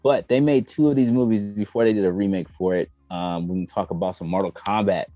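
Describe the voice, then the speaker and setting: A man's voice: lowish voice, steady tone, fairly quick, warm tone, faint music behind. An American man co-hosting a gaming show in laid-back, slangy casual chat, on dull lo-fi audio.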